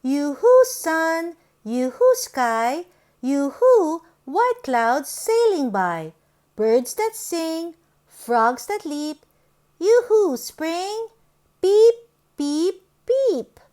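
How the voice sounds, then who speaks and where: A woman reading a picture book aloud to young children in a sing-song, exaggerated, deliberate way. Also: very high voice, very animated, darkish tone.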